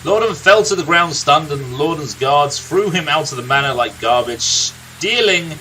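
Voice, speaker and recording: A man playing a gruff, irritable older villager in a video game, reading out a tale in a blunt storytelling manner. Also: on the high side, lively intonation, moderate pace, pushed and bright, soft background music, rough recording. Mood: dramatic storytelling.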